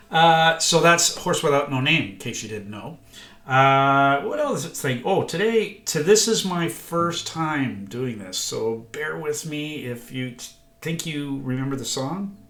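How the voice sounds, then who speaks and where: A man's voice: fairly high pitch, very animated, a little slow, a bit bright. Older man, rambling, in an echoey room. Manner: rambling, self-deprecating, folksy. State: congested, recovering from illness. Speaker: vlogger learning guitar. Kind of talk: casual vlog chat. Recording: echoey room, guitar playing.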